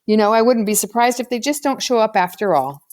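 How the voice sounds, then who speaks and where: A woman voicing a sharp-tongued, skeptical young schoolgirl with a blunt manner in an audiobook. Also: a little high, expressive, a little fast, natural voice. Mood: doubtful. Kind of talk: audiobook character dialogue.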